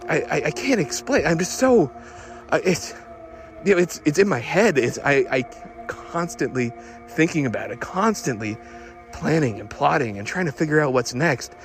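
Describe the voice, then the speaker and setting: A man acts out an obsessive car fanatic in a fairly high male voice, with music playing underneath. He rambles through an audio diary, stammering and restarting with breathless eagerness. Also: big pitch swings, normal speed. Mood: elated, fixated. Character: excitable, obsessive man smitten with his old car.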